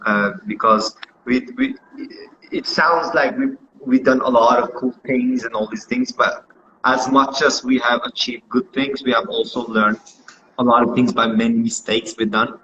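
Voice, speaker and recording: A man's voice: mid-range voice, fairly even intonation, normal speed, bright, forceful tone, dull audio, slight background noise. A man with a Norwegian accent, answering interview questions in a hesitant way with many fillers. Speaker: dancer being interviewed. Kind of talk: casual interview.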